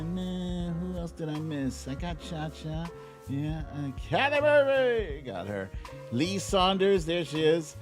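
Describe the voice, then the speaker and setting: A middle-aged man hosting a livestream, chatting informally and playfully with viewers, stretching words and tossing in asides. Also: on the high side. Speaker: livestream show host. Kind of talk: casual livestream chat with viewers. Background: background music.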